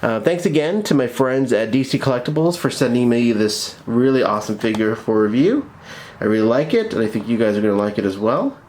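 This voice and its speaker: A man's voice: medium pitch, animated, a little fast, darkish tone. A man hosting a casual product review, speaking informally and with enthusiasm. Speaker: toy review host.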